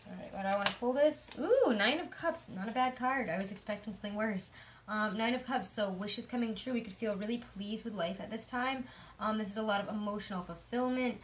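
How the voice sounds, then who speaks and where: A young woman with a hoarse voice giving a chatty, rambling tarot reading, full of fillers. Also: mid-range voice, a little fast, slight background noise. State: hoarse from illness. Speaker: tarot reader. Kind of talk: casual tarot reading monologue.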